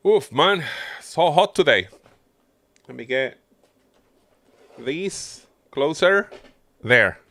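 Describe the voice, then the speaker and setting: A man's voice: a little high, lively intonation, drawn-out words, long pauses, pushed and bright, no echo. A man doing casual livestream game commentary, muttering his running thoughts to himself as he plays. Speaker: game streamer.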